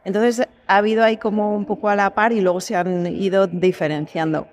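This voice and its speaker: A woman's voice: medium pitch, level tone, fairly quick. A woman with an accent from Spain, a guest answering conversationally in a reflective, explanatory manner. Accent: Spanish from Spain. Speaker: voice actress, podcast guest.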